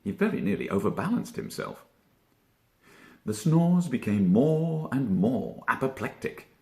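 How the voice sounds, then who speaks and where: A man narrating a children's story theatrically, expressive and deliberate, drawing out key words. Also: mid-range voice, creaky. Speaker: storybook reader, stage actor.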